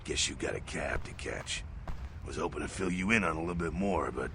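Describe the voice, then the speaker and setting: Gravelly, gruff American vampire veteran. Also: male voice, very deep voice, a little fast, noisy background. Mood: easygoing. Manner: salty, slangy, rambling, wry. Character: gruff, streetwise veteran male vampire, brash mentor. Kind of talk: video game character dialogue.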